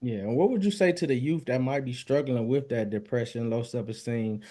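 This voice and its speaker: Male host, soft mellow tone, affirming church-style phrasing, conversational interview delivery. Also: medium pitch, fairly quick. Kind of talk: faith-based interview chat. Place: remote online call.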